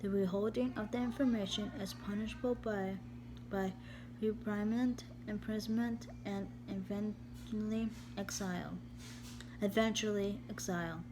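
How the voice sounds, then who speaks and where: Slow, halting woman over a humming background. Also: mid-range voice. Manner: slow, halting delivery with repeated words and restarts; steady, even tone. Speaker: vlogger. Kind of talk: reading a text aloud to camera. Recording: steady background hum.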